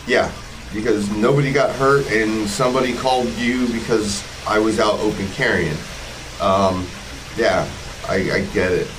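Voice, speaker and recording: A man's voice: fairly low pitch, fairly even intonation, normal speed. Combative American man in his forties, noisy recording. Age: 40s. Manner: confrontational, sarcastic, swearing. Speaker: video blogger, police auditor. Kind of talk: casual narration over footage.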